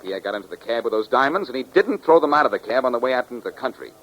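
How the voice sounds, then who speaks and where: An actor playing a quick-witted detective hero with wry, slangy, urgent delivery in a 1940s radio drama. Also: male voice, mid-range voice, lively intonation, fairly bright tone, natural voice, rough recording. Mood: excited, urgent. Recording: vintage 1940s radio recording.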